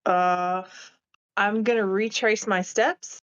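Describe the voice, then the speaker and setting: A woman's voice: mid-range voice, steady tone, slow pace, a bit bright, clear, resonant tone. Female tabletop player, casual and informal, thinking aloud, mid-range voice, slightly muffled lo-fi audio. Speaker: player in a tabletop roleplaying game. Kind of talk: casual game-table chat.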